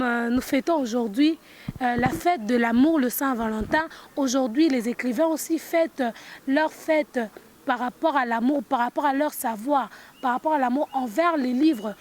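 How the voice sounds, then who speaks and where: A woman's voice: sits high, a little slow. A woman with a Congolese accent presenting and interviewing live at an event, unscripted, hesitant and often restating her phrases. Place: busy book festival venue. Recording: noisy event ambience. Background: event noise and ambience.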